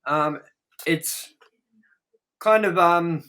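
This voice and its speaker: A man's voice: on the high side, very slow, pushed and bright, low-quality recording. A man chatting casually as co-host of a film review show, blunt and sweary, repeating words for emphasis.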